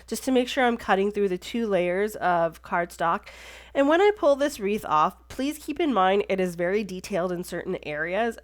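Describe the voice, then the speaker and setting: Woman with a stuffy congested voice. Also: mid-range voice. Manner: chatty, with frequent "um" and self-corrections. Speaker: card-making craft tutorial host. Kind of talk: casual voice-over tutorial narration.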